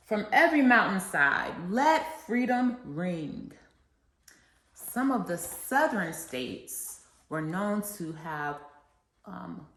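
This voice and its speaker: Echoey low-voiced woman reading for children. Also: a bit bright. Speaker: children's read-along reader and educator. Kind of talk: read-aloud of a famous speech with simple explanations for children. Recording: echoey, reverberant room sound.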